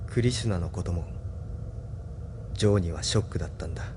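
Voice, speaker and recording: A man's voice: low voice, fast, mellow, a little hiss or hum, close to an everyday voice. An actor playing an earnest, troubled young male pilot hero with an emotional manner in an audio drama. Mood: troubled, regretful. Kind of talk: Japanese audio drama acting.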